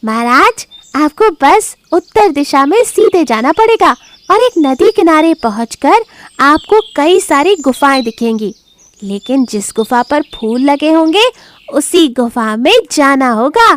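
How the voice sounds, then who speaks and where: Woman voicing a sly, very high-pitched cartoon fox. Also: lively intonation, unhurried. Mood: scheming. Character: sly, flattering fox advisor to a lion king. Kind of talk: animated moral story voice acting.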